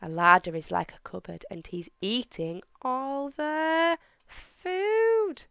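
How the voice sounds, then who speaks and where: A woman reading a rhyming picture book aloud to children in an expressive, explanatory manner. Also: sits high, slow pace, creaky, clean tone, no echo, muffled recording. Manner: explains words and asks young listeners questions. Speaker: children's story reader.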